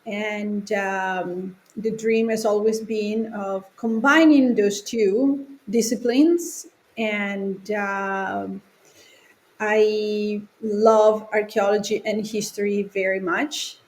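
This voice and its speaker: A woman in her forties with an Italian accent, answering conversationally as an interview guest in halting phrases. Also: mid-range voice, steady tone. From Italy. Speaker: podcast guest, children's author.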